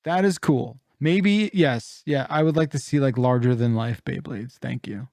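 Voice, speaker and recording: A man with a middling pitch chats as a podcast co-host. His delivery is casual comedic banter, captured very dry without room sound. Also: moderate pace, a little mellow, no room sound.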